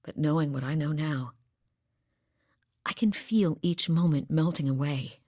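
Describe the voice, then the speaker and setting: An actress voicing a steady, blunt woman hunter from a far northern village, with a matter-of-fact manner and dry humor, in a studio game recording. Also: deep voice, measured pace, no room sound. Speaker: game character voice lines. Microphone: close studio mic.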